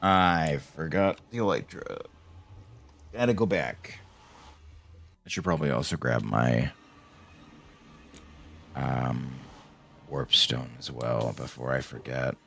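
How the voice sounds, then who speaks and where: A man casually talking to himself while playing a game, low-key and mumbling, with a voice he says people call soothing. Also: slow pace, vocal fry, pauses often, fairly bright tone. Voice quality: voice called soothing. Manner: low-key, mumbling, swears when frustrated. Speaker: streamer. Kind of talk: casual gameplay commentary.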